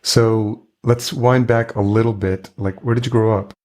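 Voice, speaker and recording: A man's voice: on the low side, steady tone, a little slow, a little mellow. A man hosting a podcast, asking questions in an informal, attentive conversational way. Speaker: podcast host. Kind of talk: casual interview questions.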